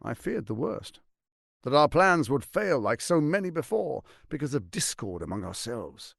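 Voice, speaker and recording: An actor voicing a scheming, smug tyrant lord in a video game, speaking in a pompous, silky, menacing and theatrically emphatic way with a gravelly edge. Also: male voice, medium pitch, sing-song, normal speed, no echo, studio quality. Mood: calculating. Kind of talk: dramatic video game voice acting.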